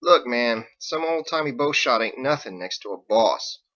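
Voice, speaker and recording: A man's voice: medium pitch, expressive, moderate pace, bright, forceful tone, muffled recording. A man voicing a laid-back, boastful young sailor in an audiobook, talking in casual slang. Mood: teasing. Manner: slangy, folksy, informal. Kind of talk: audiobook character acting.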